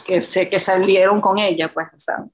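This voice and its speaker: A middle-aged woman from France, a native French speaker, sharing personal stories over a video call and often pausing to search for words. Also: mid-range voice, steady tone. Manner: halting, searching for words, many fillers. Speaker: group participant.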